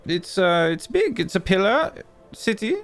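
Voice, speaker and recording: A game master narrating a tabletop campaign, unhurried and full of little pauses. The voice has a gravelly fry and swings widely in pitch, with a teasing, playful manner. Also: big pitch swings.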